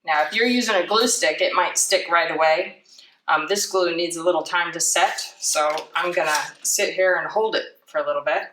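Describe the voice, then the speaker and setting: A woman with a slightly low voice walks children through a craft in a chatty, playful way, tossing in self-deprecating asides. She is heard at a distance from the microphone in an echoey room. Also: moderate pace, a bit bright. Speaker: children's library storytime presenter. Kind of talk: casual craft demonstration for children. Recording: roomy, distant mic, clear background noise.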